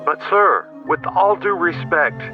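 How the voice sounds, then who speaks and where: An actor playing an anxious, deferential company executive through a call filter, formal and pleading. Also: male voice, high-pitched, expressive, slow pace. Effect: video call filter. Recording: tinny, distant call speaker with music behind.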